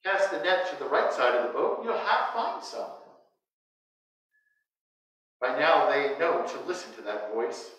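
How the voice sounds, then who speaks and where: An American man in his sixties preaching a sermon as a priest, in measured short phrases with pauses, speaking through a face mask inside a church. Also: mid-range voice, lively intonation, a bit bright. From United States. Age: 60s. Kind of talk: sermon read from notes. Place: inside a church, standing before the altar. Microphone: distant microphone, speaking through a face mask. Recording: very echoey church, distant mic.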